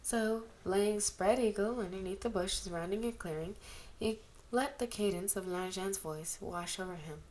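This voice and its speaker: A woman's voice: medium pitch, moderate pace, a little mellow, rough recording. A woman narrating a piece of fan fiction as an amateur reader, occasionally stumbling over the text.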